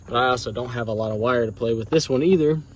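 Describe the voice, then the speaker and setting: A man's voice: medium pitch, quick pace, slight background noise. An American man talking casually and thinking aloud as he hosts a hands-on truck tutorial in a folksy, informal way. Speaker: DIY truck vlog host.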